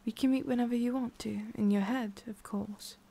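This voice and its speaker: Woman acting a creaky-voiced, lonely forest witch. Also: fairly high pitch, fairly even intonation, normal speed. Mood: guarded, gentle. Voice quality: soft, breathy, often dropping to a whisper. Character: lonely tsundere forest witch, immortal, guarded and melancholy. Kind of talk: ASMR roleplay, intimate and slow.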